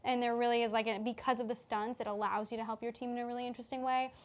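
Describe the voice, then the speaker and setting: High-voiced woman chatting casually. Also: flat delivery, very fast. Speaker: tabletop game player. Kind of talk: casual post-game chat.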